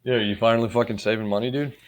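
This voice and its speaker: A man's voice: medium pitch, steady tone, very fast. A man co-hosting a podcast, teasing his partner bluntly with lots of swearing. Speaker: podcast co-host. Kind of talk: casual podcast banter.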